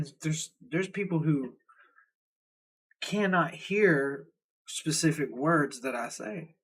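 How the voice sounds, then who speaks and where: A husky, slightly high-pitched young man with a Southern drawl talks casually and bluntly, cursing freely. He rambles and restarts as he rants like a reaction-video host. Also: fairly bright tone, poor audio. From Southern United States. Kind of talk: casual ranting commentary.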